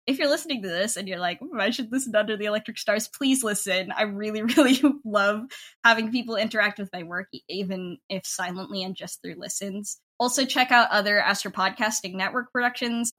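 A woman's voice: mid-range voice, sharp tone. A woman in a casual interview, talking effusively and informally as a creator, rambling a little as she goes. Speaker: podcast guest, audio drama creator.

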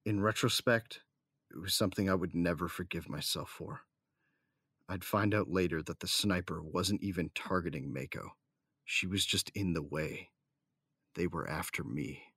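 A man's voice: deep voice, moderate pace, pushed and bright, husky, dry recording, studio quality, exaggerated voice. An actor playing a devoted husband and adventurer who narrates his own story in an audio drama. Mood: somber narration.

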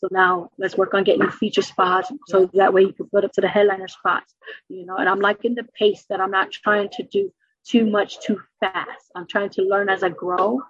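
A middle-aged woman with a New York accent, a stand-up comedian chatting in an interview and telling stories quickly and animatedly. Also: mid-range voice, breathy edge, no room sound, muffled recording. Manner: fast, animated storytelling with laughs. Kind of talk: casual interview chat.

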